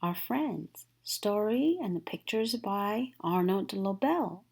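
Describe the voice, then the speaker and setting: A woman reads a children's picture book aloud at an unhurried pace, and her pitch rises and falls widely for young listeners. Every line comes out clear and deliberate. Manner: slow, clear and expressive storytelling. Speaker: children's story reader.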